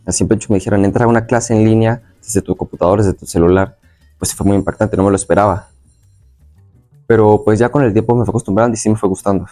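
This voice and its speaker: A man's voice: lowish voice, steady tone, fast talker, dark tone, faint music behind. A young man from Venezuela answering as an interview guest, casually recalling his experiences in a slightly hesitant, circling way. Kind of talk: casual personal recollection.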